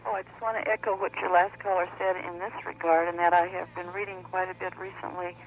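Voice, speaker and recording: A woman's voice: lowish voice, little pitch movement, quick pace, slight background noise, low-quality recording. A woman calling in to a radio show over the telephone, speaking enthusiastically and admiringly. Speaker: caller. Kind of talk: call-in radio conversation.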